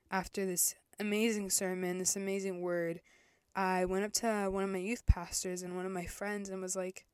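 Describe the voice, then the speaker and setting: A woman's voice: mid-range voice, dry recording, clean studio sound. Chatty young woman podcast host. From El Salvador. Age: 20s. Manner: chatty, self-correcting, candid. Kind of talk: casual faith podcast monologue.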